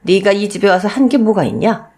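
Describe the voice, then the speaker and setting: A woman's voice: mid-range voice, very animated, a little fast, a little mellow, close to an everyday voice. A woman voicing a cold, scornful elderly mother-in-law who scolds haughtily in a radio-style story drama. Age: older. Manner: haughty scolding with rhetorical questions.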